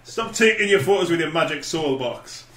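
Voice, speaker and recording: Dry, teasing man, bright voice, off mic. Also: a little high, animated, normal speed. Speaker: podcast co-host. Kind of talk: casual group banter. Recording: roomy, distant microphone.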